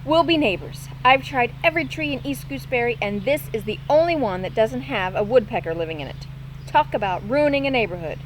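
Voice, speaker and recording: A small, scatterbrained bat chatters on with bright, bouncing cheer, as a woman reading a storybook puts him on. His voice sits high and leaps up and down in lively swings. A faint outdoor hum runs underneath. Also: moderate pace, close to an everyday voice. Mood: cheerful. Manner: bouncy, eager, talkative.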